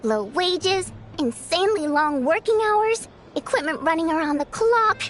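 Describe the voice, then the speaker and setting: A woman playing a proud, easily offended theater singer in scripted video game dialogue, with an expressive, theatrical, pointed delivery. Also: very high-pitched, measured pace, slight background noise. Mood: indignant.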